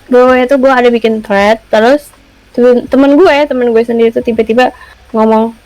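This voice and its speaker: A woman's voice: on the high side, fairly even intonation, brisk, a little mellow, dry recording, faint music behind, poor audio. Young woman co-host, Jakarta accent, casual chatter with English words dropped in. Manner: chatty, mixes Indonesian slang with English words. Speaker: podcast co-host. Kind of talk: casual chat.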